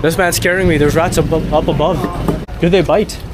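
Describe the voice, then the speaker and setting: A man's voice: fairly high pitch, fairly even intonation, moderate pace, noisy background. A Canadian man walking through a city market outdoors, chatting upbeat to his viewers as a travel vlogger. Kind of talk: handheld travel vlog narration.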